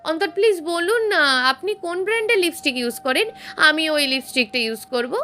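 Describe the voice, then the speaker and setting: A woman's voice: high-pitched, expressive, moderate pace, pushed and bright, voice pushed up. A woman voicing a coy, affectionate young wife in an audio story, with a cutesy, coaxing manner.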